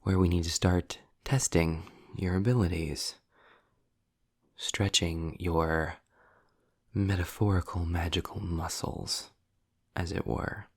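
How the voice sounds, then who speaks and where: A man performs a sardonic demon in an audio drama, speaking low and very slowly. He is wordy and impatient, leaving deliberate pauses, and the voice is heavy with gravelly fry. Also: fairly even intonation, no echo, studio quality, exaggerated voice. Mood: hushed, intent. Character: sarcastic, impatient male demon, wry and erudite. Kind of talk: scripted audio roleplay, addressed to the listener.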